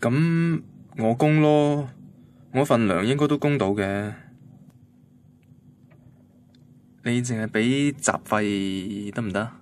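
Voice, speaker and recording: An actor playing an earnest, devoted young boyfriend in a Hong Kong Cantonese radio drama, speaking colloquially and sounding quietly vulnerable. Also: male voice, mid-range voice, unhurried, natural voice. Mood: hopeful, coaxing. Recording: music bed under the voice.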